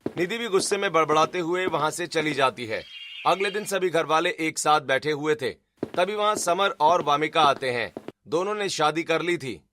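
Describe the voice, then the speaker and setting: A man's voice: fairly high pitch, animated, normal speed, sharp tone, a little hiss or hum. A man reading scripted narration for a family drama cartoon story.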